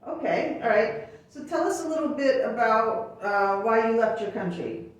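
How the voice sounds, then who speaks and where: A woman's voice: fairly high pitch, moderate pace. A woman from the United States hosting a talk show interview in a chatty way, with fillers and self-corrections, recorded from a distant mic in an echoey room.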